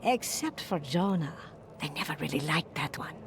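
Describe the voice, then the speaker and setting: A wistful game fortune-teller with a lowish voice. She talks reflectively with dry wit, over clearly audible background noise. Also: expressive, normal speed. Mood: wistful, wry. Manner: reflective, wry. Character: calm mystic seer woman, wry and wistful. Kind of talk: video game voice acting. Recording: noisy background.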